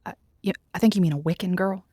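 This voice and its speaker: An actress playing an earnest, playful radio advice host in an audio drama, with a hesitant, folksy way of talking. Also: female voice, medium pitch, quick pace, warm tone, breathy edge, no room sound, clean studio sound, close to an everyday voice. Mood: amused, skeptical. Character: chatty, earnest, skeptical female advice radio show host.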